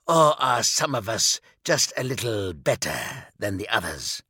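A man voicing a bitter, fallen warrior villain in an audiobook, husky, sardonic and menacing as he taunts. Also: medium pitch, sharp tone, no room sound, clean studio sound.

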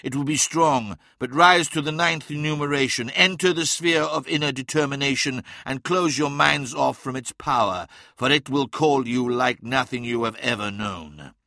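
Male narrator as huge patriarchal warlord, stern and portentous, bright forceful delivery, very dry sound. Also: mid-range voice, moderate pace, gravelly, few pauses, dry recording. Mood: commanding. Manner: commanding, portentous. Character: giant one-eyed male warlord father, commanding and grave.

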